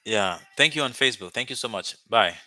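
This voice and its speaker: A man's voice: medium pitch, expressive, fairly quick, bright, forceful tone, dry recording. A man whose first language is Haitian Creole, from Haiti, chatting casually and rambling to viewers on a live stream about learning languages, with many fillers and restarts. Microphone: webcam or phone mic, speaking to camera.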